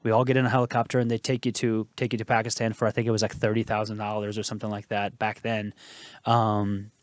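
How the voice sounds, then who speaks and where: A man in his thirties from Afghanistan, a podcast guest telling his life story in a relaxed way, repeating phrases and fillers. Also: fairly low pitch, fast, no echo. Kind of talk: casual storytelling interview. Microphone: podcast studio mic.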